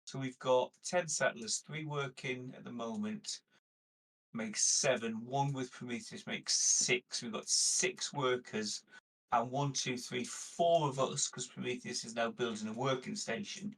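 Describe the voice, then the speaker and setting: A man with a husky edge to his voice plans aloud as a player in a tabletop game. His talk is casual and conversational, picked up from slightly off the microphone. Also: mid-range voice, measured pace, fairly bright tone, far from the mic, low-quality recording. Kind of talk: casual group game chat, planning aloud.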